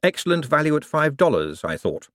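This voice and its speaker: A man's voice: a little high, big pitch swings, a little fast, a bit bright, no echo, pitched above the performer's own voice. A man doing a wry, sardonic young man. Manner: dry, sardonic. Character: wry, sharp-witted man, young adult. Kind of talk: audiobook character voice.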